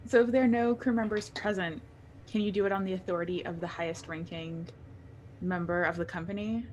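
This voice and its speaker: A woman's voice: lowish voice, fairly even intonation, a little fast, darkish tone, a little hiss or hum, rough recording. A woman playing a tabletop roleplaying game, asking questions in a casual, conversational way.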